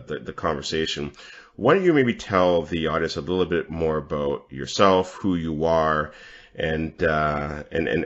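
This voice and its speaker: Male podcast host, very low voice, casual and conversational, halting delivery with repeated words. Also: fairly bright tone, muffled recording.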